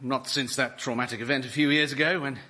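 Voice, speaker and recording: A man's voice: mid-range voice, steady tone, fast, bright, forceful tone, natural voice. An actor playing a timid, genteel, long-suffering man in a radio sitcom, well-spoken, hesitant and fussy. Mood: aggrieved. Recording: very noisy studio comedy recording.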